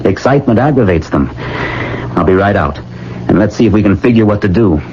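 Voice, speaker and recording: A man acting in a 1970s radio drama as a calm, practical doctor, steady and matter-of-fact. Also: fairly low pitch, brisk, lightly acted. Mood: reassuring. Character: calm, practical male doctor and family confidant. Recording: muffled, noisy old radio broadcast.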